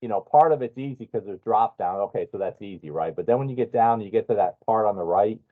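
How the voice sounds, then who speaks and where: Low-voiced man on a phone-like line. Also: fast. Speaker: meeting participant. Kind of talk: casual spoken request to colleagues. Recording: thin, phone-like call line.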